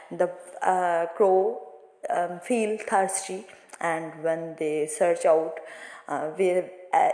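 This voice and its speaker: A woman teacher explaining a moral story to students in halting, non-native English phrasing. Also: mid-range voice, darkish tone. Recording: echoey room with long reverb.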